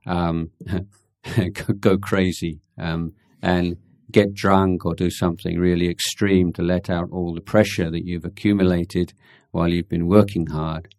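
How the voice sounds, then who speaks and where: A man with a very deep, gravelly voice talks off the cuff, pausing on fillers and doubling back on his words. His delivery is frank with a dry, wry edge, and the sound is close and dry with no room echo. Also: little pitch movement, darkish tone. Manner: halting, many fillers and restarts. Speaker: speaker answering audience questions. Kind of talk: unscripted spoken talk with Q&A.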